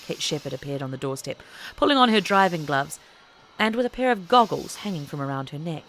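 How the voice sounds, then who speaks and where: A woman reading a scripted story as an audiobook narrator, in an expressive storytelling style. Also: low-pitched, brisk, low-quality recording.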